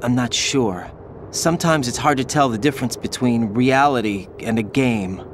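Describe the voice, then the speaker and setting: A man's voice: medium pitch, moderate pace, natural voice. Man acting earnest, troubled young soldier, noisy backdrop. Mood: troubled, brooding. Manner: intense, questioning, brooding. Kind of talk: video game cutscene voice acting.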